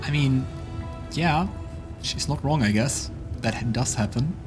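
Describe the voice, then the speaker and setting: A man casually commenting on a game and reading its narration aloud, thinking out loud, with music in the background. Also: mid-range voice, measured pace. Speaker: let's play streamer.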